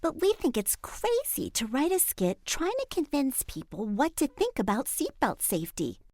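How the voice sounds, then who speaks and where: A woman's voice: high-pitched, big pitch swings, moderate pace, darkish tone, no room sound, studio quality. An actress playing a sassy, confident young girl in a children's audio story.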